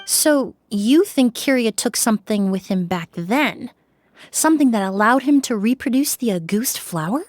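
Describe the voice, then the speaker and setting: Casting: woman playing a composed forensic cleaner for a game, slightly high voice, measured and analytical. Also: lively intonation, no echo, studio quality, natural voice. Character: calm, poised female forensic cleaner and perfumer. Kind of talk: video game voice acting.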